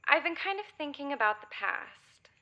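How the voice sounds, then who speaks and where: An actress playing a frazzled, insistent grown daughter in an audio comedy drama. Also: female voice, high voice, sing-song, normal speed, gravelly, lo-fi sound, full character voice. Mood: hesitant. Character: frazzled, insistent adult daughter with a drug habit.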